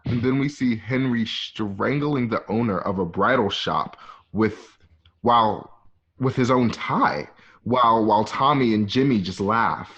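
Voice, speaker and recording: A man's voice: fairly low pitch, a little slow, a bit bright. A man narrating an amateur radio play, reading stage directions in a loose, conversational, ad-libbing way. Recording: dull lo-fi home connection.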